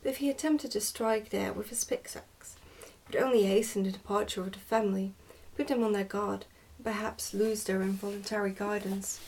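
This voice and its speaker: A woman reads a storybook in a hushed ASMR manner, slipping at times toward a whisper. Her delivery is careful and deliberate, and she sounds a little way back from the microphone. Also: medium pitch, fairly even intonation, distant mic, low-quality recording. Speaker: ASMR host reading a book aloud. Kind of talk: ASMR storybook reading, soft and partly whispered.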